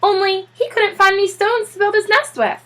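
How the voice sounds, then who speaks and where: A woman's voice: extremely high pitch, fairly even intonation, normal speed, bright, forceful tone, voice pushed up. A woman voicing a mild, eccentric family man, folksy and good-humored, in a children's storybook. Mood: amused. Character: mild, eccentric husband, pleased with his pet penguin.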